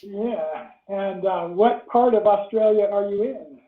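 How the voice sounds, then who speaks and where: A man's voice: high voice, unhurried. A man hosting an online webinar over a video call, chatty and enthusiastic as he welcomes the audience and guest. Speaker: webinar host. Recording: tinny phone-like line, echoey room.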